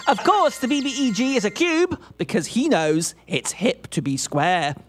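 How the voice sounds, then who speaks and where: A woman hosts a live gaming event in a casual way, reading out messages. Her voice is fairly high and very animated in pitch, over clear game music. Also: moderate pace. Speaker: marathon host reading donations. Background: video game music.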